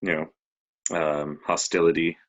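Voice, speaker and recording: A man from Canada hosting a podcast, asking relaxed questions in casual chat. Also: rumbling low, measured pace. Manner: relaxed, asks questions with short agreeing replies. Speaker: podcast host. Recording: dull lo-fi audio.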